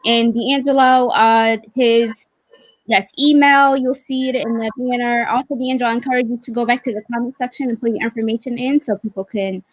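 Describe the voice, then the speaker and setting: A woman hosts an informal livestream with a fairly high voice. She is appreciative and encouraging as she talks. The sound is close and dry, on a video call. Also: steady tone, brisk, dry recording, rough recording. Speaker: host of livestreamed read-along.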